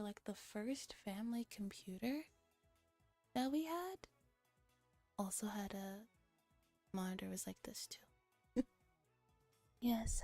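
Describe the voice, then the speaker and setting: A woman streamer with a soft, breathy, often whispering voice, reading a story and chatting casually in a relaxed ASMR style. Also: on the high side, no echo. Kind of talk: ASMR visual novel read-aloud with casual chat.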